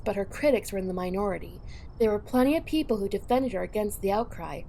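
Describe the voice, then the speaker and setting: A woman's voice: medium pitch, a little mellow, slight background noise, poor audio. A woman reading scripted third-person narration for an audio drama.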